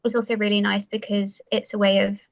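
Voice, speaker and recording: A woman's voice: medium pitch, little pitch movement, fairly quick, vocal fry, dull audio. A young woman from West Wales, chatting as a podcast guest and filling her talk with "um", "like" and "kind of". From Pembrokeshire, West Wales. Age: young adult. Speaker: guest, university bird society president. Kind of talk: podcast interview.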